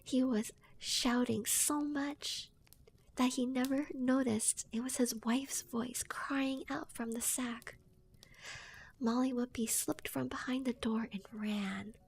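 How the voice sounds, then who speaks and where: A young woman streamer whispering a folk tale softly, giggling between asides to her chat. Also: high voice, fairly even intonation, slow pace, a little hiss or hum. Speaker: streamer and storyteller. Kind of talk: ASMR story reading.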